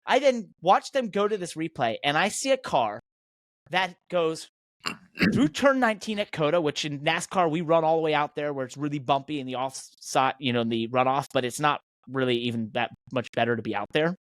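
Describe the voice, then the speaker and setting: A man's voice: a little high, lively intonation, brisk, a bit bright, no room sound, clean studio sound. An American man hosting a motorsport podcast, talking in an emphatic, indignant and opinionated way. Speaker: podcast host and professional race car driver.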